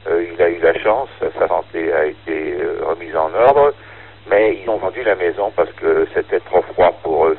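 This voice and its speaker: A man chatting casually as an amateur radio operator, haltingly searching for words, over a narrow radio link. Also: low-pitched, creaky, breathy edge. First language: French. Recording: tinny band-limited ham radio transmission.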